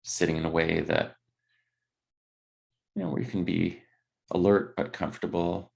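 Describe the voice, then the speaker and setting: A man leading a guided body scan meditation, speaking gently in unscripted, hesitant phrases. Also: low-pitched, moderate pace, vocal fry.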